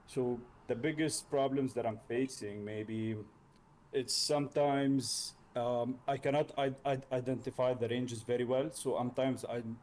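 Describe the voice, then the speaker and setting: A man from Lebanon, speaking haltingly and casually as a learner in a mentoring chat. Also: mid-range voice, a little mellow, a little hiss or hum. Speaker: learner trader, mentee.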